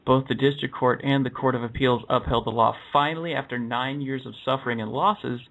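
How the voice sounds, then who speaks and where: A man hosting a radio talk show, earnestly reading through cases and interviewing a guest, often pausing with uh and correcting himself. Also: medium pitch, fairly even intonation, a bit bright. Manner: earnest, with frequent uh's and self-corrections. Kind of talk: reading case summaries and interviewing. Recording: dull lo-fi broadcast audio.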